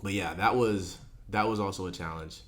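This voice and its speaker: Man in his twenties, very low voice, hesitant, filler-heavy podcast co-host. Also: moderate pace. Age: 20s. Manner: hesitant, soft-spoken, lots of fillers. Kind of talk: casual chat.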